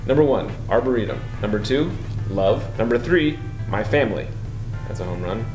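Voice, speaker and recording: A young man co-hosting a comedy vlog, reading fan letters aloud with a playful deadpan. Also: lowish voice, normal speed, over music, poor audio. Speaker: co-host, "international correspondent".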